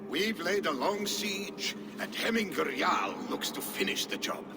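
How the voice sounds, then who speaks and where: An actor playing a loyal Norse clansman who speaks in a formal, measured way. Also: sits high, lively intonation, music in the background. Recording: game audio with long reverb.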